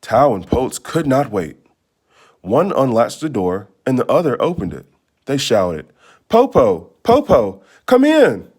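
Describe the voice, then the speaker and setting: A man narrates a children's story aloud at an unhurried, slow pace. His mid-pitched voice is very animated, rising and falling widely as he reads. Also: breathy edge. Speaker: storybook reader. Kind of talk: expressive read-aloud narration.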